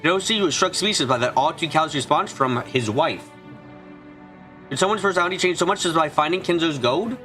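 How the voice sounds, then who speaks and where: A man's voice: a little high, expressive, fairly quick, sharp tone, faint music behind. A man streaming a visual novel, reading the narration aloud and sometimes stumbling over words.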